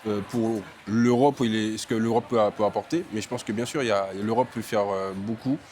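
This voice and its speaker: A man's voice: on the low side, steady tone, a little fast, darkish tone, a little hiss or hum. A young man from Martinique, an entrepreneur, answering interview questions with many fillers and restarts.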